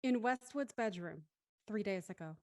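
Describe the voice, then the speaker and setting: A woman's voice: mid-range voice, lively intonation, normal speed, no echo, studio quality, close to an everyday voice. A woman reading scripted stage directions as narrator of an audio drama.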